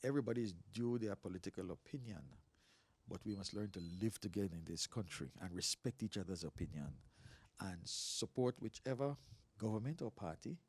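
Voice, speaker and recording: A man in his sixties from Belize, a pastor telling stories in a relaxed interview, animated and quick to laugh. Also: lowish voice, breathy edge. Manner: animated storyteller, laughs often. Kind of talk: casual interview talk.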